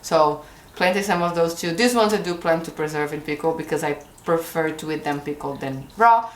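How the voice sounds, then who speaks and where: A woman's voice: deep voice, normal speed, reverberant, poor audio. A woman in her thirties with a Brazilian Portuguese accent, chatting casually to camera at home about her garden plans. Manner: chatty, gestures while holding up seed packets. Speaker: gardening vlogger. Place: indoor kitchen corner at home.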